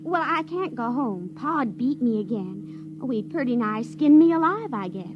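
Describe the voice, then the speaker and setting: An actress playing a sly, folksy teenage backwoods runaway with a drawling Ozark accent in an old-time radio drama. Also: female voice, a little high, lively intonation, normal speed, music in the background, natural voice. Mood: plaintive, folksy. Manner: drawling, rustic, artless. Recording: muffled, humming, noisy old radio recording.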